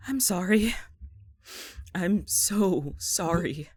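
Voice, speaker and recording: A woman's voice: fairly low pitch, lively intonation, very slow, darkish tone, breathy edge, no echo, clean studio sound, exaggerated voice. A woman acting a blunt, foul-mouthed, guilt-ridden young friend in a naturalistic audio drama. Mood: guilty, pleading. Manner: blunt, sweary, emotionally raw.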